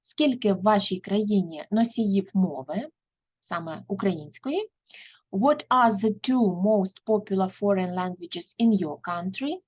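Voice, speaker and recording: A woman teaching an English lesson, reading each word and then giving its translation, in a steady explaining manner. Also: medium pitch, measured pace, breathy edge, low-quality recording.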